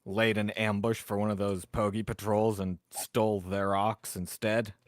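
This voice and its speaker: A man's voice: fairly low pitch, level tone, unhurried, no room sound. A man chatting casually as a player in a tabletop roleplaying game. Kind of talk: casual group chat during a game.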